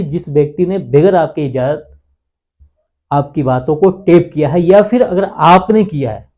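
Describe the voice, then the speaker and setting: Male explainer with a fairly high, mellow voice and dull lo-fi sound, repeats his points and answers his own questions. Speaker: legal explainer on a channel. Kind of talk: informal explainer lesson to viewers.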